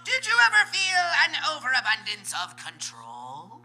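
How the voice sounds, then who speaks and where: A man's voice: very high-pitched, huge pitch swings, normal speed, pushed and bright, faint music behind, full character voice, poor audio. A man voicing a shrieking megalomaniac cartoon alien as a spy director in a live comedic read. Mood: bossy, intense. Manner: theatrical, bursts into screamed declarations.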